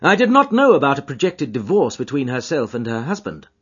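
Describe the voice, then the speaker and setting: A man's voice: mid-range voice, sing-song, fairly quick, slightly rough, muffled recording, pitched above the performer's own voice. A man voicing a keen, commanding detective who speaks in formal Victorian phrases, emphatic and urgent, in an audiobook. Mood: brisk, assured. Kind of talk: dramatised audiobook character dialogue.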